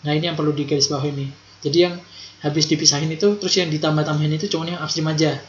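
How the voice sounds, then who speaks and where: A man's voice: fairly high pitch, level tone, very fast, slight background noise. Young man, informal tutor, repeats key points, roomy echo, dull lo-fi sound. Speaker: accounting tutor.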